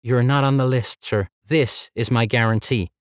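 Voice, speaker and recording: Polite firm office receptionist girl, guy doing her voice, perky and higher, dead dry sound. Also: fairly even intonation, moderate pace, dry recording, lightly acted. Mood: flustered. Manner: chirpy, courteous, apologetic but unbending.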